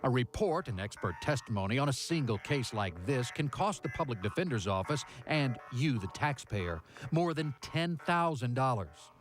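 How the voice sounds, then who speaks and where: Man, American accent, TV news reporter, scripted narration, animated pitch, music behind. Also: normal speed.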